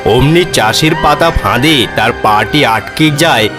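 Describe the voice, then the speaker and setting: A man gives scripted storybook narration for a cartoon. His voice sits at a medium pitch, with music clearly playing behind it. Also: measured pace.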